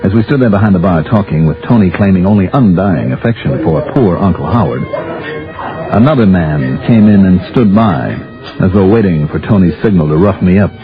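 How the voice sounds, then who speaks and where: An actor playing a cool, wry hardboiled insurance investigator, narrating and acting with dry sardonic delivery in a 1950s radio drama. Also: male voice, low voice, lively intonation, fairly quick. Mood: wry narration. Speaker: narrator and lead detective. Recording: very muffled old radio recording with music.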